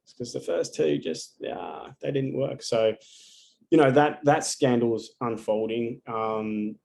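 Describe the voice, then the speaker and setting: A man's voice: mid-range voice, a little slow, vocal fry, darkish tone, a little hiss or hum. A man giving a live astrology reading over a video call, talking casually and bluntly and swearing often.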